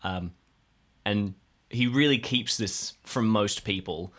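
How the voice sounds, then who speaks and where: A man's voice: on the low side, takes it slowly, fairly bright tone. A man chatting casually and playfully as a cast member of an improvised drama podcast. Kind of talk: casual chat introducing a character.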